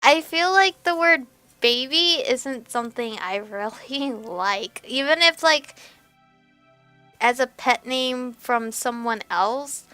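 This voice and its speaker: A woman's voice: sits high, pushed and bright, no room sound. A young woman doing casual let's play commentary in a chatty, giggly way. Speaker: gaming video host. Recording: music behind the voice. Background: game music.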